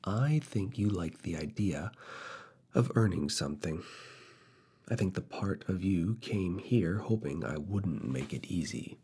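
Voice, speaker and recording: A man playing a firm, teasing, quietly seductive university professor in soft, intimate ASMR roleplay, speaking deliberately in a husky, breathy voice with whispered phrases. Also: expressive, full character voice. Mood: firm, challenging. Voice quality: husky, breathy, low gravelly voice. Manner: slow, deliberate, pauses between short phrases, slipping into whispers.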